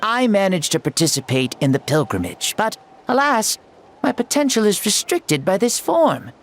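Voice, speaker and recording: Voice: medium pitch, sing-song, normal speed, slight background noise. An actor voicing a jovial, boastful ancient warrior hero in a game cutscene, talking in a playful, teasing way that turns grandly theatrical.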